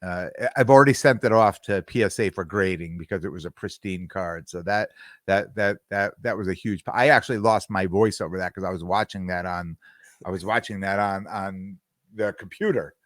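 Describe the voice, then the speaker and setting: Podcast co-host, middle-aged man, low voice, dry close sound, rambling storyteller. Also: moderate pace, fairly bright tone, no echo. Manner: rambling, repeats words while searching for them. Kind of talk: casual chat.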